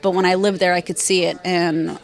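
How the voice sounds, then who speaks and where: A woman in her thirties, a former journalist and author, answering interview questions in a measured, thoughtful way with frequent fillers. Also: lowish voice, level tone, creaky. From Afghanistan, raised in Virginia, USA.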